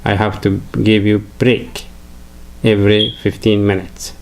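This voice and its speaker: An older man from Afghanistan with an Afghan accent, a native Farsi speaker, giving a halting religious lecture full of fillers and restarts. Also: lowish voice, little pitch movement, creaky, poor audio. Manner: hesitant, many fillers and restarts.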